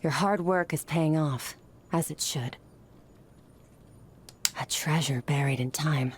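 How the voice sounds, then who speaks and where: Composed, aloof female game warrior, deep-toned, measured delivery over faint noise. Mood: cool, composed. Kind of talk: video game character voice lines.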